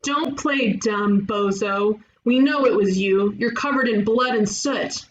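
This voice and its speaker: A woman playing a brash, bossy ghost detective in an animated comedy table read, teasing and cocky. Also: medium pitch, slow, highs cut off, a little hiss or hum, lightly acted. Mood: bossy, accusing. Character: brash, bossy young female ghost detective who loves puns.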